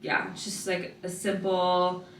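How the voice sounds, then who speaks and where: A woman in her twenties, vlogging casually with a raspy morning voice and lots of filler words. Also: fairly low pitch, monotone, a little slow, a bit bright, slight background noise, poor audio. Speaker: lifestyle vlogger. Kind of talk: casual vlog chat to camera.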